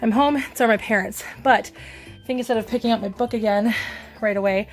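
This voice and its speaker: A young woman from Minnesota with a deep voice, chatting casually and rambling with lots of ums and restarts. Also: fairly even intonation, a little fast, faint music behind. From Minnesota, USA. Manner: rambling, chatty, many ums and self-corrections. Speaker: vlogger. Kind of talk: casual chat.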